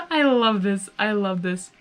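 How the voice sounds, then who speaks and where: A woman hosting and narrating a live story reading in an expressive, giggly manner, reacting aloud as she goes. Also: medium pitch, slow pace, faint music behind. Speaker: fan fiction reader and host.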